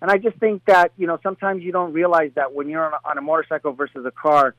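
A man's voice: fairly high pitch, level tone, fast, no room sound, highs cut off. A middle-aged man from Colombia, a boxing trainer, talks casually as a guest. He rambles, with many restarts and fillers. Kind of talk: casual interview talk.

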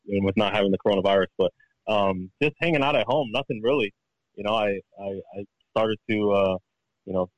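A man's voice: on the low side, no echo, highs cut off. A man in his thirties, a professional golfer giving a casual interview, who halts and repeats words as he searches for them. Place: at home.

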